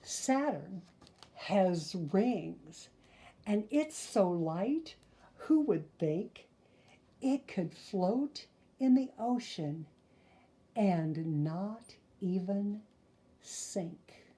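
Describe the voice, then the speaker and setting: An older woman reads a storybook aloud to young children in a soft-toned, mellow voice. She takes her time over each phrase at a very slow pace, slipping in gentle asides to the listeners. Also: mid-range voice, expressive. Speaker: storyteller reading a children's picture book aloud.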